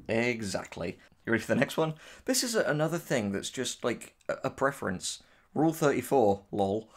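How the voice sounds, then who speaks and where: A man's voice: mid-range voice, sing-song, moderate pace. Man in casual, emphatic discussion. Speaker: writer co-hosting a reaction discussion. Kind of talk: casual opinionated chat.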